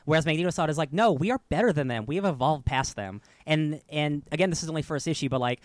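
Fast-talking eager man. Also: on the high side, dry recording. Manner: eager, quick, explains at length. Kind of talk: casual comics discussion.

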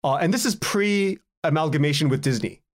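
A man speaking as a guest in a casual podcast interview, telling anecdotes in an animated way and acting out bits of dialogue. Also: a little high, normal speed. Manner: animated storytelling, acts out quoted exchanges, chuckles often. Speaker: guest, audio drama writer and director.

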